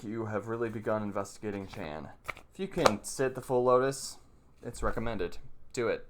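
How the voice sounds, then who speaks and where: A man giving informal spoken instruction as a video host, plain-spoken and halting, repeating phrases as he explains and reads passages aloud. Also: fairly low pitch. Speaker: meditation teacher, video host. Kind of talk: informal teaching commentary with read-aloud passages.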